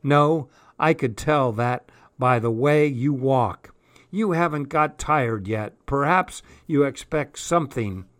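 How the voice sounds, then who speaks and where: A man reading aloud and voicing a frail eighteen-year-old tramp boy with a husky voice. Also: medium pitch, slow pace, fairly bright tone, no room sound. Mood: knowing.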